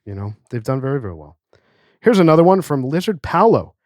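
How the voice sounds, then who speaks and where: A man's voice: mid-range voice, big pitch swings, brisk, a little mellow, no room sound, clean studio sound. A man hosting a casual podcast, reading listener letters aloud in a joking way. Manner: reads letters aloud, jokey. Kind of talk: casual chat. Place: cigar lounge.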